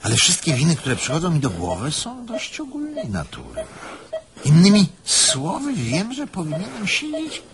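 An agitated young crook in a radio drama. His pitch leaps about wildly as he rambles, defiant and self-pitying. A crowd murmurs behind him. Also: wildly swooping pitch, moderate pace. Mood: agitated rambling. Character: defiant, rattled young male thief waking up confused, rambling. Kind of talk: theatrical radio play acting.

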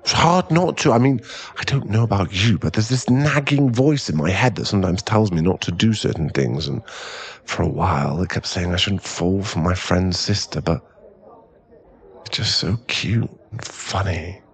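A man playing a drunk, clingy, lovesick boyfriend in an intimate ASMR roleplay, with halting, pleading speech and a husky, gravelly voice. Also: sits low, lively intonation, heavily acted. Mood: surprised, smitten. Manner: tipsy, halting, drawn-out, pleading delivery.

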